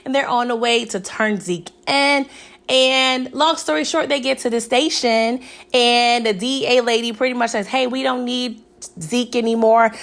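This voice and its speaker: A young woman with a raspy, hoarse voice, chatting casually through a TV episode recap and often asking "okay?". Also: a little high, fairly bright tone. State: hoarse from recent illness. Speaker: TV recap vlogger.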